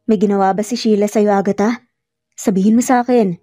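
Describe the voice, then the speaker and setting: A woman's voice: medium pitch, fairly even intonation, fairly quick, warm tone, no echo, studio quality, soft background music, lightly acted. A woman with a Filipino accent voicing an earnest, insistent man pleading with his lost love in a narrated romance.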